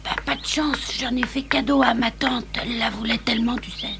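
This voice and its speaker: A woman's voice: fairly high pitch, fairly even intonation, brisk, gravelly, very noisy. A woman voicing a cheeky, carefree schoolboy in a French cartoon dub. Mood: sly, offhand. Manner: cheeky, casual, boyish. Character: mischievous, carefree schoolboy who shirks work. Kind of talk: French cartoon dub, with first-person narration.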